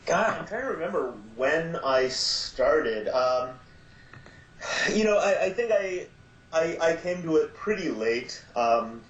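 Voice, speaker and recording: A man guesting on a podcast, stopping and starting with plenty of ums and uhs, then perking up whenever he retells a moment. He speaks at an everyday pitch. The sound is echoey and a little dull. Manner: halting, many fillers, lively when retelling. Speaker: guest, audio drama producer. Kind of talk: casual podcast chat.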